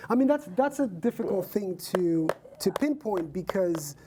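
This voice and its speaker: A man's voice: sits high, expressive, moderate pace, noisy background. A man who is an actor, talking as a guest in a casual interview, thoughtful and searching for his words.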